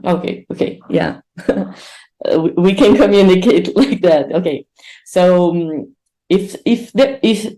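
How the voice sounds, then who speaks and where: A woman with a Brazilian Portuguese accent gives a conference talk over a video call, speaking English as a second language. Her voice sits a little low and sounds dark and mellow. She talks slowly, with many fillers and restarts.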